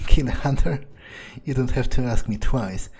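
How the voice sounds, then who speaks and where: Male gameplay roleplayer, unhurried and mellow, casually menacing, musing in character over faint music. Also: mid-range voice, breathy edge. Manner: hesitant thinking aloud, casually menacing. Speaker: gaming video player.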